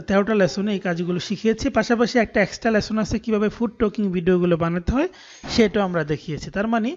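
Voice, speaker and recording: Fast, high-pitched Bangladeshi man tutoring. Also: darkish tone. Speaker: online course tutor. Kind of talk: screen-recorded tutorial walkthrough. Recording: dull, muffled lo-fi voice recording.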